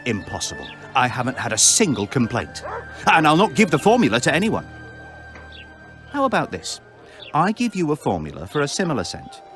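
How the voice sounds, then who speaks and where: An actor voicing a defensive, evasive shopkeeper with a wheedling manner in a fantasy game. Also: male voice, medium pitch, huge pitch swings, a little fast, a bit bright, soft background music. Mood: evasive, bargaining. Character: shifty, defensive male incense shopkeeper. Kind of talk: fantasy video game acting.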